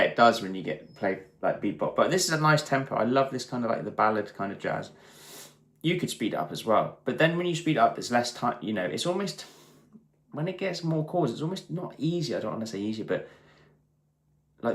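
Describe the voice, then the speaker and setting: British guitarist chatting casually in a roomy space. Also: male voice, mid-range voice, lively intonation, fairly quick. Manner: informal, thinking aloud in short bursts. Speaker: guitarist hosting a live stream. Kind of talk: casual guitar teaching and chat. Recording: roomy echo with guitar playing.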